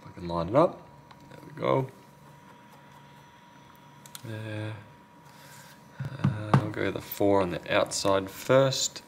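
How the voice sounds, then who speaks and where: A man with a fairly low voice talks casually through hands-on repair work. His delivery is unscripted and half to himself, like a technician narrating at the bench. Background noise is clearly audible behind him. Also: takes it slowly, long pauses. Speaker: phone repair technician and video host. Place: indoor repair workbench.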